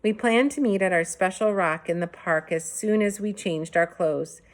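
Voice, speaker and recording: A woman narrating a children's story aloud as a teacher would, with expressive delivery. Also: medium pitch, moderate pace.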